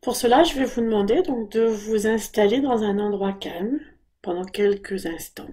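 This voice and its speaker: A woman leading a guided body scan meditation in a soft, breathy voice, giving calm, gentle, unhurried instructions. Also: medium pitch.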